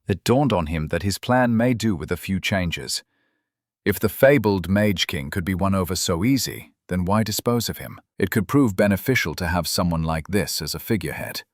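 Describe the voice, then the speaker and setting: Audiobook narrator, man, low register, reading fantasy prose in a very dry studio-like sound. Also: expressive, normal speed, dry recording. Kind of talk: scripted fantasy story narration.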